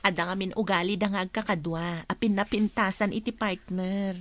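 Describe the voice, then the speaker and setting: A woman's voice: medium pitch, fairly even intonation, moderate pace, natural voice. An actress playing a chatty, gossiping female office colleague in an Ilocano radio comedy-drama.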